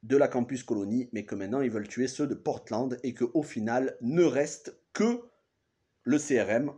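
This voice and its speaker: A man in his thirties speaks with the congested sound of a cold, his pitch sitting in an ordinary middle range. He recaps a TV episode casually and haltingly, pausing and correcting names as he goes. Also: animated, dark tone, slightly rough. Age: 30s. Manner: hesitant, restarts and corrects himself often. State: has a cold. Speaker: TV series reviewer.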